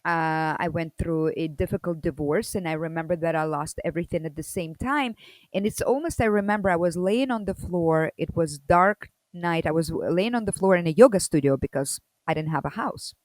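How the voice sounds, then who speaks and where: A woman from the former Soviet Union, telling her personal story as a guest in a loud, energetic and expressive way. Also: lowish voice, a little fast, no echo.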